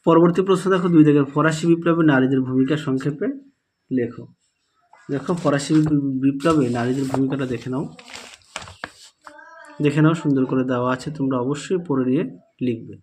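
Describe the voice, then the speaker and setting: A man's voice: mid-range voice, steady tone, brisk, long pauses, a little mellow, a little hiss or hum. A man teaching students in Bengali, walking through exam answers step by step and repeating his instructions. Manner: repetitive, instructive, addresses students directly.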